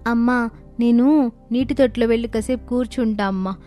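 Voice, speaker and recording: A woman's voice: on the high side, a little fast, dark tone, soft background music, lightly acted. A woman voicing a plaintive young child in a cartoon moral story. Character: children, a boy and sisters, pleading in summer heat.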